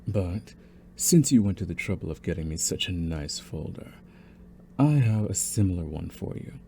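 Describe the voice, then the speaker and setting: A man's voice: deep voice, expressive, moderate pace, vocal fry, warm tone, a little hiss or hum. A man playing a young, possessive mafia boss in a scripted ASMR audio roleplay, taunting and condescending in a theatrical, menacing way. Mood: mocking amusement. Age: young adult.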